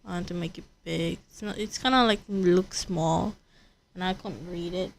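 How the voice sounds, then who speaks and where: A woman's voice: on the low side, steady tone, moderate pace, creaky, slight background noise. A woman livestreaming a video game, chatting casually and thinking aloud in rambling, repeated phrases. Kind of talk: casual livestream chat while gaming.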